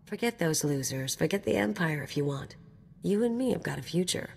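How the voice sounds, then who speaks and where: A brash mercenary woman from a video game, with a low-pitched voice. She swaggers and teases in slangy, casual talk. The sound rings with hall reverb over background noise. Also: expressive, fairly quick, vocal fry. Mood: cocky, teasing. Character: brash, cocky female anarchist mercenary companion.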